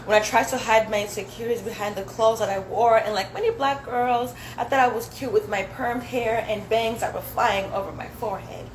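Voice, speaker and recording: A young woman from Zambia, a Swahili speaker, performing a reflective spoken word poem in a measured recitation at a dinner event. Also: a little high. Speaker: poet. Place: indoor dinner event hall. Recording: roomy hall with background noise.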